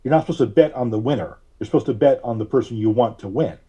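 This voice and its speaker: A man speaking as a podcast guest, giving conversational political commentary in a measured, wry, halting way. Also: mid-range voice, mellow, slightly rough, muffled recording.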